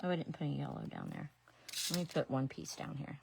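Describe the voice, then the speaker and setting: A woman's voice: sits low, huge pitch swings, quick pace, creaky, background noise. A middle-aged woman from Ohio with an Ohio accent, chatting casually and rambling as she works on a craft during a live stream. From Ohio, USA. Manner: chatty, rambling, self-deprecating asides. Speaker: resin artist and painting teacher.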